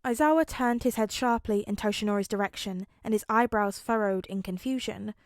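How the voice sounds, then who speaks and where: A woman's voice: medium pitch, a little fast, no room sound. A woman reads a fan story aloud in audiobook style, narrating expressively and voicing the characters' lines herself. Her voice sits in a middle register. The recording is dry, with no room sound. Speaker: podfic reader. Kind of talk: audiobook fanfic reading.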